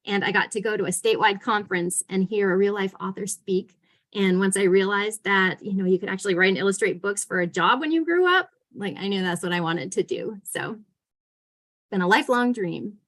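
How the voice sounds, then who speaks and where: A woman's voice: medium pitch, a little fast, a bit bright. A woman who writes children's books, answering questions casually in a chatty, emphatic way. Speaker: children's author and illustrator.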